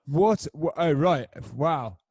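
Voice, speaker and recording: A man's voice: a little high, expressive, slow pace, darkish tone, breathy edge, no room sound. A young man hosting a casual chat, joking about himself in a hesitant, rambling way. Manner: hesitant, jokey, self-mocking. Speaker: host.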